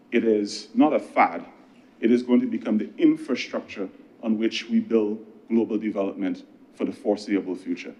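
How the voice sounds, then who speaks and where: A man with a Barbadian accent delivering a parliamentary speech as a government minister, conversational and earnest, with emphatic pauses. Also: fairly low pitch, mellow, lo-fi sound. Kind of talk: political speech in parliament with anecdotes.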